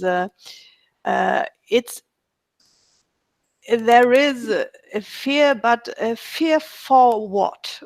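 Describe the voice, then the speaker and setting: A woman hosts an interview over a video call, setting up her questions slowly. She pauses often, with plenty of "uh"s and "um"s. Her voice sits at a middle pitch and sounds dry. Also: vocal fry, dry recording. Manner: slow, halting, many fillers. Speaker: host.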